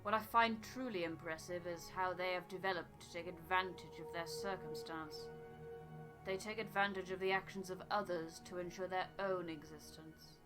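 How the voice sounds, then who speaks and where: A woman voicing a scholarly, aloof male botanist from a video game, with precise, formal, measured phrasing. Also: fairly low pitch, fairly even intonation, fairly bright tone, faint music behind, lightly acted, rough recording. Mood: formal, musing. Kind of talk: voiced reading of video game dialogue.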